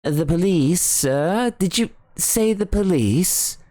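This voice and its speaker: A man voicing a deferential, anxious male butler in a high, fluttery, shocked voice for an audiobook reading. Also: expressive, slow pace, warm tone. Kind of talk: audiobook character voice.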